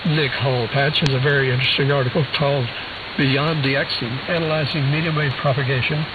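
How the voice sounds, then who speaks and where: A man's voice: medium pitch, steady tone, fairly quick. A man presenting a scripted news bulletin in a dry, wry manner, heard over a shortwave radio transmission. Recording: hissy, phone-like shortwave radio reception, heavy static.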